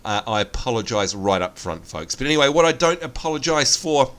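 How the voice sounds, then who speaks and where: An Australian man with a congested, sniffly voice from hay fever, giving chatty gameplay commentary and viewer shout-outs. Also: fairly quick. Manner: chatty, upbeat, lively pitch swings. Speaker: gaming channel host.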